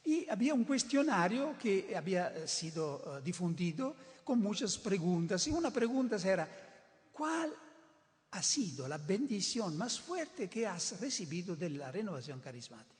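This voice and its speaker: A man's voice: sits high, big pitch swings, moderate pace. An older man who is not a native Spanish speaker, preaching a sermon in an emphatic way, often repeating and restarting words. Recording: reverberant hall.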